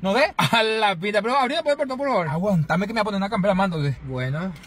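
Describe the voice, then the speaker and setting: A man's voice: sits high, animated, quick pace, a bit bright, slightly rough. A young man, fussy and giggly, repeating himself in a comic sketch filmed inside a car.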